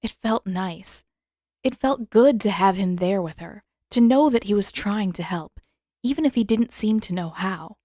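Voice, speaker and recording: A woman's voice: medium pitch, expressive, unhurried, dark tone, dry recording. Woman narrating fiction, very dry recording. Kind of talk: scripted fan fiction narration.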